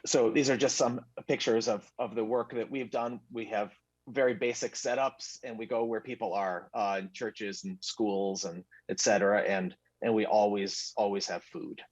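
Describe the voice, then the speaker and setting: A lower-voiced man presents at a conference. He halts often, with fillers and restarts. The sound is dull and dry, like remote audio. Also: steady tone, dry recording. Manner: halting, many fillers and restarts. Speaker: physician presenter.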